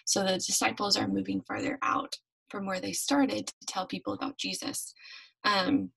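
A woman's voice: medium pitch, little pitch movement, normal speed, creaky, a bit bright, breathy edge, low-quality recording. A young woman leading a church devotional from her bedroom, telling a Bible story in a friendly way with many ums, on a webcam. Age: young adult. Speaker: devotion leader. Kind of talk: youth devotional teaching. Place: bedroom at home. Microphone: webcam at desk.

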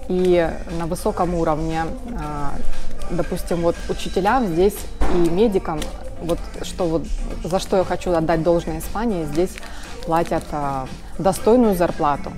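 A woman in her thirties from Russia, talking casually in an interview in a small room with sofas, pausing on filler sounds and correcting herself. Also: fairly low pitch, music in the background. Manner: rambling, many filler sounds, self-corrections. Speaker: guest, video blogger. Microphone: camera-mounted shotgun mic beside her.

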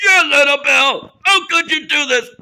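A man's voice: very high-pitched, a little slow, gravelly, pushed and bright, full character voice, poor audio. A man playing a stern, indignant school principal who scolds emphatically and repeats himself in an amateur cartoon story. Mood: stern, scolding. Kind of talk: amateur role-played children's cartoon story.

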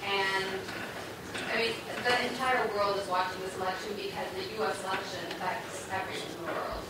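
A woman's voice: mid-range voice, fairly even intonation, moderate pace. A woman in the audience asking a question at a public lecture in a large hall. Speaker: audience member. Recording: echoey, distant, very noisy hall.

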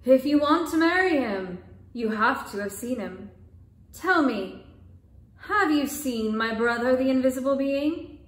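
A woman playing a wise, gentle spirit's sister. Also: sits high, big pitch swings, slow, reverberant, full character voice. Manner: gentle questioning.

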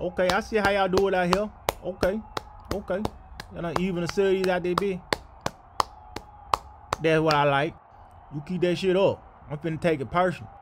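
A man's voice: sits high, fairly even intonation, moderate pace, long pauses, background noise. A man doing comedic voiceover of basketball players and referees, with profane, slangy trash talk.

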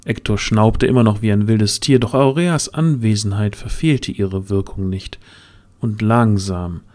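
Slow, low-pitched male storyteller. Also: a little mellow. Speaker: narrator. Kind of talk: dramatic scripted storytelling.

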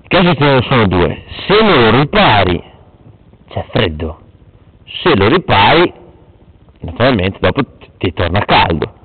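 A man's voice: lowish voice, big pitch swings, measured pace, long pauses, slightly rough. A young man jokingly hosting a mock interview in a late-night home video, laughing often and putting on an announcer tone. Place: room at night. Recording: tinny lo-fi home video with loud hiss.

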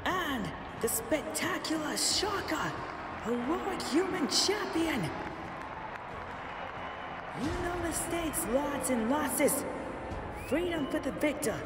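A theatrical fight announcer calls out a deathmatch in a very high, wildly swooping voice. He is a bombastic showman, drawing out his words for drama in an echoing arena. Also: animated, slow, pauses often, full character voice. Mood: grand, gleeful hype. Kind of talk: ring announcer, melodramatic. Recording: echoey distant arena with crowd noise.

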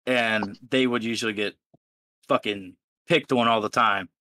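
A man's voice: lowish voice, steady tone, unhurried, bright, forceful tone, a little hiss or hum. A man in his early twenties chatting on a podcast, blunt and swearing freely. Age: 20s. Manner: blunt, profane. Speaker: podcast host. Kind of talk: casual chat.

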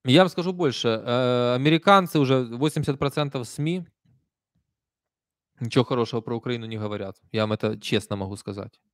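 A man's voice: mid-range voice, a little fast, dry recording, studio quality. A man in his thirties from Ukraine with a Kyiv accent, chatting emphatically on a live stream while reading out viewer comments. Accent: Kyiv Russian. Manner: conversational, emphatic, soft-spoken asides. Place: small room indoors. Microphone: desk stand mic close up.

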